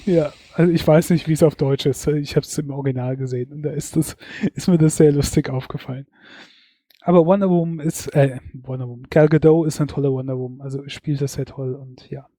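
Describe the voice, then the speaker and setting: A man's voice: on the high side, steady tone, fairly quick, mellow, no room sound. A man co-hosting a podcast, chatting casually through a year-in-review of films, hedging with fillers. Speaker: podcast co-host.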